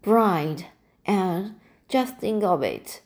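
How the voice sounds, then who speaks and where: A woman reading the lines of a weary, bitter elderly woman in a slow, plain voice. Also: mid-range voice, animated, darkish tone.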